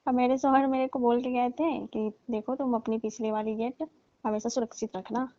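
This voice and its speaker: A woman's voice: on the high side, fairly even intonation, moderate pace, warm tone, natural voice. A woman playing a coaxing middle-aged aunty on a phone call, wheedling and pleading as she keeps calling him "babu". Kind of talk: scripted comedy phone call. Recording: muffled phone call recording.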